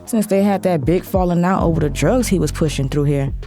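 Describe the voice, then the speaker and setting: A woman's voice: lowish voice, brisk, dark tone. A young American woman narrating an audio drama in the first person, sassy, gossipy and confiding, as if talking to a friend. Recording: music bed behind the voice. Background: clear background music.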